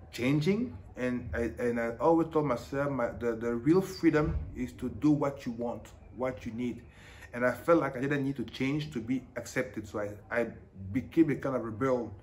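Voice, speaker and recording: A man's voice: mid-range voice, a little hiss or hum. A man in his forties from Africa with a French-speaking African accent, talking earnestly in a documentary interview while gesturing at a table. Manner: earnest, with animated hand gestures. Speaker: interviewee, teacher. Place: small bright indoor room, seated at a table.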